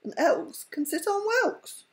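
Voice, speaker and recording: A woman's voice: extremely high pitch, animated, takes it slowly, a little hiss or hum, voice pushed up, exaggerated voice. An older woman voicing a bossy, eager male frog in a picture book. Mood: sing-song.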